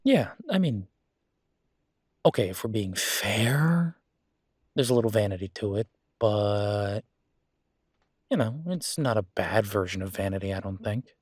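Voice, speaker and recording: A man's voice: on the low side, animated, unhurried, long pauses, a little mellow, slightly rough, dry recording, clean studio sound. A man playing a friendly, playfully vain werewolf boyfriend in a casual roleplay audio, talking in an easygoing, teasing, conversational way. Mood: sheepish, playful.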